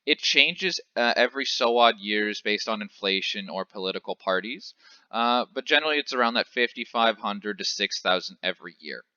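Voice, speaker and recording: A man's voice: fairly low pitch, expressive, normal speed, sharp tone, no echo, muffled recording. A man in his twenties from Canada explaining investing in a casual, chatty way, with frequent fillers and self-corrections. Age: 20s. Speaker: finance educator.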